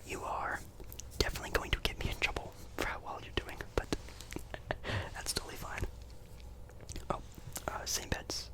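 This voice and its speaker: A man whispering an ASMR roleplay as a friendly, apologetic casino dealer, breathy and chatty, with polite, hesitant reassurance. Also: normal speed, long pauses, low-quality recording.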